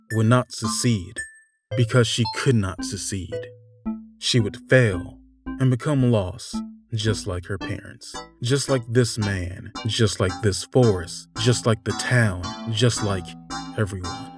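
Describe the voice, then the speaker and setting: A man reading a dark storybook tale in a measured way, dropping to a hush and swelling up again. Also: fairly low pitch. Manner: measured, with sudden hushed drops and swells. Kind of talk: dramatic scripted narration. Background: music.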